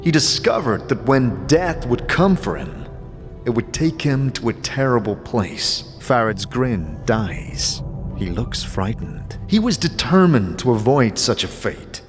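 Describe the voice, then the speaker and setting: Slow, grave male wanderer, acted by a man. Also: mid-range voice, very animated. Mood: grave, measured. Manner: measured, deliberate, brooding. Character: calm, grave male wanderer who also narrates. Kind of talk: fantasy audio drama, scripted narration. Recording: long reverb, music underneath.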